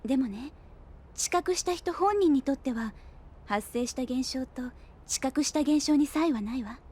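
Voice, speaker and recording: An actress playing a thoughtful young woman scientist in an audio drama, gentle, reflective and politely spoken. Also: sits high, lively intonation, normal speed. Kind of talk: Japanese audio drama acting. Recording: soft music bed behind.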